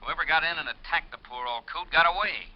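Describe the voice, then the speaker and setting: A man playing a gruff, matter-of-fact police sergeant in a 1950s radio drama, blunt and informal. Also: a little high, brisk, lightly acted. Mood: urgent. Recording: muffled, hissy vintage radio recording.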